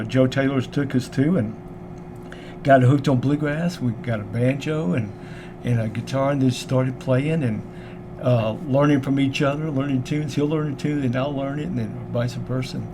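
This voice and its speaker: An older man from Memphis with a strong Southern Tennessee accent talks eagerly and warmly, often doubling back on his words. His voice sits mid-range. The recording has clear background noise. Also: medium pitch, steady tone, normal speed. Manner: warm, enthusiastic, repeats words. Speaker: guest musician. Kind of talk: casual interview chat. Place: local radio studio.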